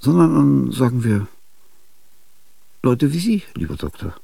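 A gravelly, death-haunted old musician. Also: male voice, medium pitch, very animated, mellow, no echo, distant mic, exaggerated voice. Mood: probing. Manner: slow, reflective, philosophical. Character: brooding aging male musician obsessed with death. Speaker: actor in a radio drama. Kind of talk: radio drama monologue.

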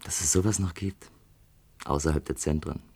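An actor playing a brooding, sardonic out-of-work electrician at a bar in a science-fiction radio play, deep and husky. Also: male voice, steady tone, moderate pace, darkish tone, full character voice. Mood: ruminative. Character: brooding, disillusioned unemployed electrician drinking at a bar.

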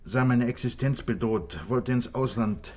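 An actor playing a contrite, desperate fugitive from a wealthy life, earnest and pleading, in 1960s radio play style. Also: male voice, medium pitch, unhurried, darkish tone, natural voice. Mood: subdued, pleading.

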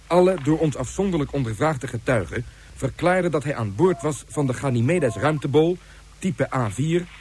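An actor playing a dutiful military commander in a science fiction radio play, reporting formally in clipped phrases. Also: male voice, fairly high pitch, animated, moderate pace, darkish tone, natural voice. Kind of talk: Dutch science fiction radio drama acting.

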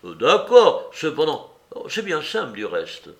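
A man's voice: fairly high pitch, huge pitch swings, slow, creaky, full character voice. A man reading aloud and voicing a young male clerk who speaks in a dry, offhand, dismissive way. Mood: scornful. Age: young adult.

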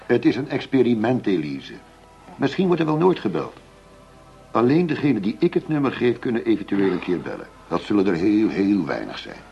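An actor playing a middle-aged police chief going undercover in a radio play, persuasive and matter-of-fact. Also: male voice, fairly low pitch, wildly swooping pitch, normal speed, gravelly, soft background music, heavily acted. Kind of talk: Dutch radio play acting. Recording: dull lo-fi radio play recording.

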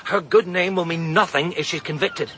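A man's voice: on the high side, normal speed, a bit bright, slight background noise, natural voice. An actor playing a determined man trying to prove a woman innocent, in a radio drama. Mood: urgent. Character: earnest protective man, determined to help. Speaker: McWhirter, amateur investigator. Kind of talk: BBC radio drama acting.

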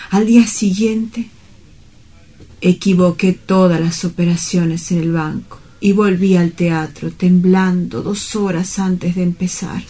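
A woman's voice: fairly low pitch, steady tone, slow pace, dark tone, slightly rough. A woman playing a dreamy, lovelorn young male bank clerk in a radio drama, with wistful, poetic, earnest delivery. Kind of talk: dramatic radio-play monologue.

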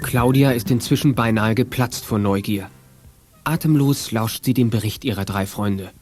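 An actor as the narrator of a 1980s German children's detective audio play, reading scripted narration. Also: male voice, lowish voice, moderate pace, close to an everyday voice. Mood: measured narration. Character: male storyteller of a children's detective adventure. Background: guitar music.

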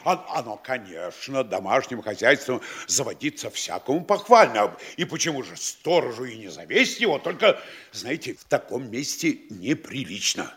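A man's voice: medium pitch, sing-song, normal speed, fairly bright tone, breathy edge. An actor playing a pompous middle-aged town mayor who lectures and instructs his officials in a radio play. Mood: instructing. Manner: bossy, instructing, mock-scolding. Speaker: town governor. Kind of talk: studio radio play acting.

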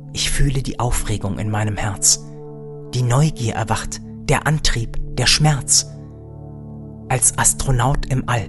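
An actor playing a dreamy, awestruck astronaut co-pilot in a radio play, with a lyrical, hushed delivery. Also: mid-range voice, natural voice. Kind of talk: radio play acting with poetic monologue. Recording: music behind the voice.

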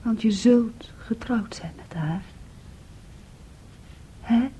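A woman's voice: fairly high pitch, extremely slow, warm tone, husky, full character voice. An actress playing a knowing, possessive and reproachful young woman, speaking in a pointed, intimate way in a Dutch radio play. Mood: bitter, hushed.

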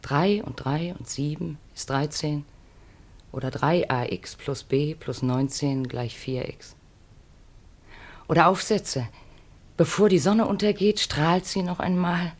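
An actress in a 1950s German radio play voicing an earnest, wistful middle-aged mother on the run, speaking in measured, pleading short phrases. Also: low voice. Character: Marianne, earnest, wistful fugitive mother of grown children.

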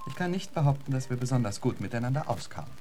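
An actor playing a skeptical, probing gentleman lecturer in a German radio drama, questioning and expressive. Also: medium pitch, quick pace, soft background music, close to an everyday voice. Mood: indignant wary.

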